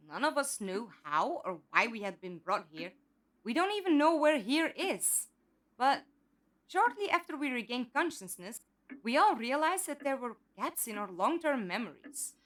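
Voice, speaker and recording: A woman playing a calm, trusting captive in an audio drama, speaking politely and reasonably. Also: high-pitched, moderate pace. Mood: candid, calm. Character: calm, curious, trusting female captive with lost memory. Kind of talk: fan audio drama acting.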